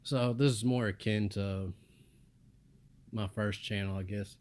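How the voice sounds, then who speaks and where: A young man from the United States rambling in a flat, monotone voice with mumbled asides. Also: on the low side, a little slow. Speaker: vlogger. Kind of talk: casual rambling monologue.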